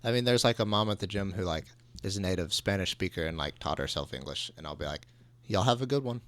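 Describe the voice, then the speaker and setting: A man's voice: sits low, lively intonation, quick pace, fairly bright tone. A young man from Alabama with a light Southern accent, chatting casually as a podcast co-host, filling his talk with "like". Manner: laid-back, lots of "like" fillers. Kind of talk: casual chat.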